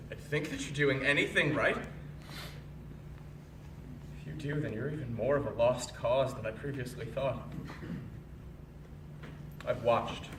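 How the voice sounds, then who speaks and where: A young man on stage playing a bitter, reproachful college student who confronts a former friend in an accusatory monologue with deliberate pauses. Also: mid-range voice, lightly acted. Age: young adult. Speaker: stage actor. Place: indoor performance space, picked up from far off. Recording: roomy, distant live stage recording, faint noise.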